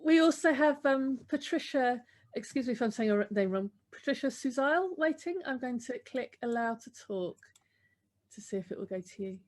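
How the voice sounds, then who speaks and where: A woman moderating an online Q&A, brisk and polite. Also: fairly high pitch, animated, a little mellow.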